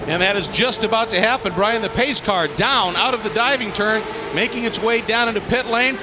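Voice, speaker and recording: A man's voice: sits high, moderate pace, noisy background. A man calling a sports car race as the play-by-play commentator.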